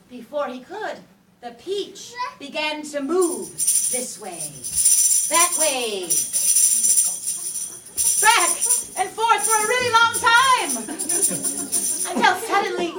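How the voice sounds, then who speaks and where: A woman telling a story to young children in a playful, lively way with drawn-out emphasis, in a classroom. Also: extremely high pitch, loud music behind the voice. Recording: echoey room from far off.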